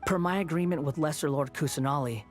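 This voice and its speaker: A man's voice: on the high side, fast, lightly acted. An actor playing a snarky, aloof young male wanderer in a game, speaking with cool, condescending, backhanded politeness. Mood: smug. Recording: game audio under music.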